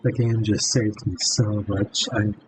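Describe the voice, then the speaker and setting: A man's voice: lowish voice, flat delivery, a little slow, background noise. A man giving casual, laid-back game commentary, thinking aloud as he plays. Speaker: gamer, video creator. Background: loud game music.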